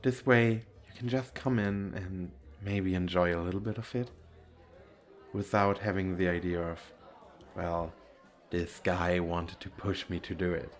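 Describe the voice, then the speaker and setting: Man acting a shy, softly flirty crossdressing guy. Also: low voice, steady tone, unhurried, soft background music. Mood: shy, hopeful. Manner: hesitant, stammering, giggly. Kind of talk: ASMR roleplay.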